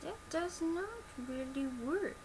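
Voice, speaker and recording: A woman in her twenties speaking softly and quietly in a gentle, instructional ASMR demonstration, recorded at home. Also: very high voice, lively intonation, takes it slowly. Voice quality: soft, quiet. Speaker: ASMR creator playing a customer service presenter. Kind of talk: soft-spoken ASMR product demonstration. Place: small bedroom, indoors. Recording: noisy amateur home webcam recording. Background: clear background noise.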